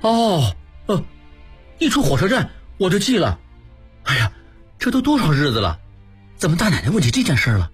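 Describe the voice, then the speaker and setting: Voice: medium pitch, wildly swooping pitch, normal speed, long pauses, husky, faint music behind, heavily acted. A narrator playing a forgetful but cautious young manservant, hesitant and offhand, in an audiobook. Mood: puzzled, offhand.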